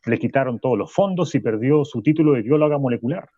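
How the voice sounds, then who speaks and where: An actor playing a radio host who delivers a grandiose conspiracy monologue, going from fast to slow. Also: male voice, mid-range voice, lively intonation, close to an everyday voice. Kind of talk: radio drama acting. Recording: dull, muffled studio sound.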